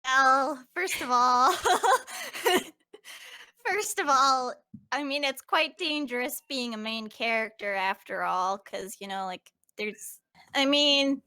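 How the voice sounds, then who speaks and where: A woman plays a young cartoon hedgehog heroine with a fairly high, bright voice. She is cheerful but a little anxious, chatting in a hesitant way. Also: animated, slow pace, full character voice. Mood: nervous, hesitant. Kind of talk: improvised in-character fan Q&A.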